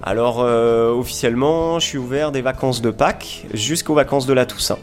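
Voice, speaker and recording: A man from southern France giving an informal interview as a sports instructor, explaining with enthusiasm and frequent pauses. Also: medium pitch, faint music behind. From Montpellier, southern France. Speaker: foil school owner and instructor, interview guest.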